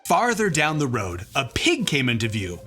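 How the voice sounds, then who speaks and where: A man's voice: mid-range voice, huge pitch swings, moderate pace, bright, forceful tone, soft background music. A man reading a children's story aloud as a playful storytelling narrator. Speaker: comedian reading children's books. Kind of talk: animated storybook read-aloud.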